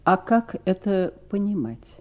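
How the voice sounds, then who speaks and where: An actress in a 1970s Soviet radio play playing an ambitious, imperious sister with a mocking, commanding manner. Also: female voice, mid-range voice, sing-song, measured pace, highs cut off. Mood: sharp, demanding. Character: ambitious, imperious sister hungry for money and city life.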